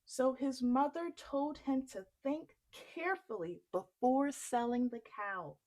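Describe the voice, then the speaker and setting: A woman reading a children's story aloud in an expressive storytelling style. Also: sits high, slow pace, poor audio.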